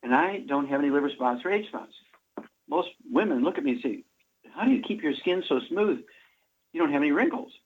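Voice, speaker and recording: A man in his seventies hosting a radio show, rambling through a supplement pitch and correcting himself as he goes. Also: animated, brisk. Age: 70s. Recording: muffled radio broadcast.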